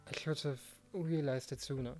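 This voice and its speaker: A man voicing an earnest, devoted teenage boy in love, reading a visual novel aloud in a gentle, sincere way. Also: mid-range voice, steady tone, moderate pace, soft background music, close to an everyday voice. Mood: earnest, loving.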